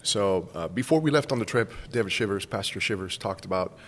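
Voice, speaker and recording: A man's voice: lowish voice, animated, fairly quick, fairly bright tone, big-room sound. A man in his forties from Cuba, casually telling a personal story as a podcast guest. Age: 40s. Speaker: school staff member, podcast guest.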